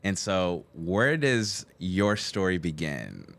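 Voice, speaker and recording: Man, podcast interviewer, notably deep voice, upbeat, playful, conversational. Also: huge pitch swings, creaky, fairly bright tone. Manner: upbeat and playful, teasing and quick to laugh. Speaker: guest interviewer, podcast host.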